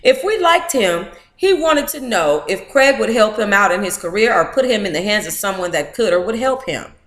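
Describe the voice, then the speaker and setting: An American woman hosting a book club, reading aloud informally and breaking into animated, playful, candid asides. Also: medium pitch, normal speed, echoey room.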